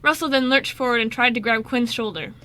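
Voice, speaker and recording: A woman's voice: a little high, brisk, sharp tone. A woman narrating a scripted radio drama, reading expressively.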